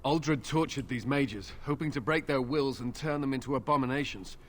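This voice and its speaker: A man's voice: medium pitch, moderate pace, fairly bright tone, slight background noise, natural voice. An actor playing a fearful, suspicious male templar soldier in a video game, speaking urgently and accusingly. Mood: accusing, alarmed.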